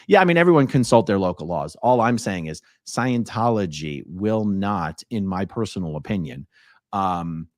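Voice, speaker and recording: Guy with a lowish voice doing live stream chat, blunt and opinionated, really dry sound. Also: animated, normal speed, darkish tone, no echo. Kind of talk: casual live commentary, reading viewer messages aloud.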